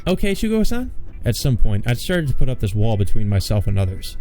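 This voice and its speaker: A man with a low, dark voice narrates a visual novel for a let's play. He mixes straight reading with blunt, sardonic asides, over faint music. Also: fast talker. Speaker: let's play host and reader.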